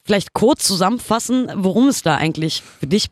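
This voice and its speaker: A woman's voice: medium pitch, lively intonation, brisk, darkish tone, no echo. A woman hosting a radio show, introducing songs and interviewing a guest in a casual, conversational way.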